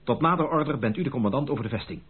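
An actor playing an earnest, lovelorn gentleman of rank in a Dutch radio drama, speaking formally and with dramatic feeling. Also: mid-range voice, animated, racing, slightly rough. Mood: resolute, commanding. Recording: muffled, band-limited old radio recording.

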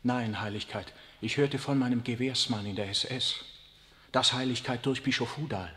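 An actor playing a young, zealous, defiant priest in a 1960s radio drama, speaking earnestly and urgently. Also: male voice, mid-range voice, moderate pace, a bit bright, lightly acted. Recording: long reverb of a large room.

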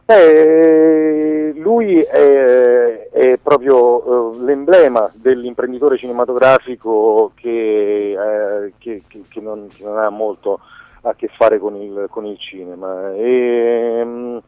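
A man giving a conversational radio interview as a filmmaker, haltingly searching for words and restarting phrases. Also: mid-range voice, fairly even intonation, clear, resonant tone, no room sound. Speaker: documentary filmmaker being interviewed. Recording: dull lo-fi radio sound, dry.

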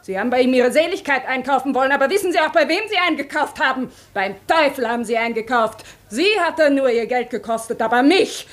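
An actress in a German radio play playing a bitter, vengeful mistress, scornful and insistently accusing. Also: female voice, high-pitched, expressive, quick pace, hardly any pauses.

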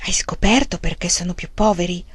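A woman's voice: fairly low pitch, fairly quick, husky, no echo. A woman reading the part of an eager, stubborn young male farmer with emphatic outbursts. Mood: wondering. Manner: emphatic exclamations.

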